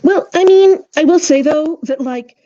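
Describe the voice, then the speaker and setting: A woman's voice: sits high, a little slow, warm tone, muffled recording. A woman co-hosting a casual podcast discussion, explaining her points emphatically and often checking with a tag question. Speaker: podcast co-host.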